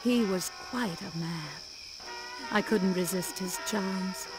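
A mature, romantic mother , played by an actress with a mid-pitched voice. She narrates wistfully and melodramatically in a video game cutscene, with very loud music behind her. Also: slow pace, close to an everyday voice, poor audio.

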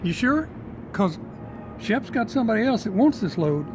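An actor playing a brisk, slick trucking dispatcher in a naturalistic audio drama. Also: male voice, sits high, big pitch swings, music in the background. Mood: pressuring. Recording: noisy ambience with vehicle sounds.

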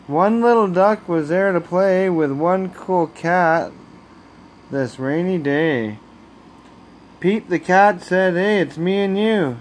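A man reading a children's bedtime story aloud as a storyteller, with expressive, deliberate phrasing, recorded on a handheld phone at home. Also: high voice, slight background noise. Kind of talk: bedtime picture-book read-aloud. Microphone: handheld phone camera.

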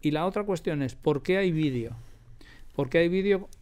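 A man's voice: fairly high pitch, normal speed, darkish tone, a little hiss or hum. A man with a Castilian Spanish accent, explaining and telling anecdotes in an interview, emphatic and repeating phrases for stress. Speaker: radio drama producer, interview guest. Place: radio drama studio.

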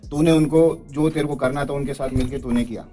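A man in his thirties speaks bluntly through a headset mic, in a plain middle pitch. He sounds heated and insistent and keeps repeating himself. Faint music plays underneath. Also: fairly even intonation, a little fast, mellow. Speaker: esports player. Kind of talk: livestream talk and call recording. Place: small room, sitting in a gaming chair.

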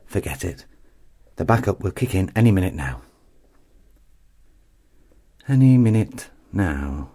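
A haughty male robotics designer in an audio drama. His voice is husky and swings widely in pitch as he sneers, full of sarcastic exasperation. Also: big pitch swings, unhurried, pauses often, mellow, heavily acted. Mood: impatient.

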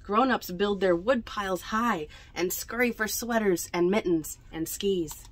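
A young woman reads picture books aloud to children in an unhurried storytelling manner, adding chatty asides about the pictures. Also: medium pitch. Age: young adult. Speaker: library storytime reader. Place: indoors among bookshelves in a small library bookmobile.

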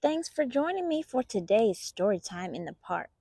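A woman reading a children's picture book aloud as a storytime host, in an expressive, deliberate storyteller's manner. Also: on the high side, vocal fry, slightly rough, no echo, studio quality.

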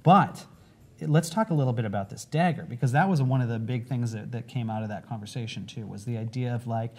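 A man's voice: medium pitch, fairly quick, darkish tone, breathy edge. A man in his forties hosting a conversational on-camera interview, seated indoors. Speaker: host, game company creative director.

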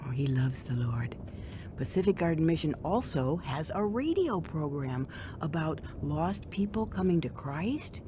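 A devout young divorced mother in a radio drama. Her voice is gentle and on the low side. There is clear noise in the background. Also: sing-song, measured pace. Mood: gentle, encouraging.

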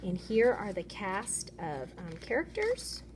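A woman teacher reading a children's picture book aloud in an expressive, instructive way. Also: mid-range voice, slow, background noise.

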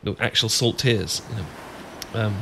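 A man's voice: on the low side, unhurried, gravelly, fairly bright tone, a little hiss or hum. A man with a British accent acting an awkward, easily flustered, bashful friend in a romantic ASMR audio roleplay, stammering and rambling as he goes. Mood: awkward, musing.

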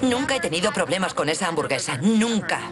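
A woman's voice: fairly low pitch, very animated, fast. A woman dubbing a restaurant owner on a reality show, defensive and argumentative. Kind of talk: dubbed reality TV. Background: background music.

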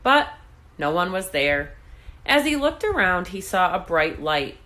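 Slow, animated woman reading a storybook. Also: on the low side. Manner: expressive, deliberate. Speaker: read-aloud host. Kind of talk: children's storybook narration.

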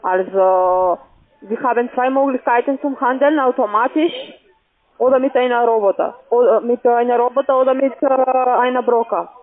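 A woman's voice: a little high, normal speed. Hesitant woman on a lo-fi phone line. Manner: hesitant, non-native German phrasing. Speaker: call centre agent, sales caller. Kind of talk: sales phone call. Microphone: telephone.

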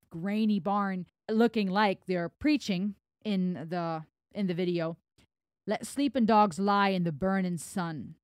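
A woman in her thirties from Germany, with German as her first language, giving an animated music reaction on a boom mic in a home studio. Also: no room sound. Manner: animated, gestures while talking, reads lyrics aloud. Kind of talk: casual music reaction commentary. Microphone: broadcast mic on boom arm, headphones.

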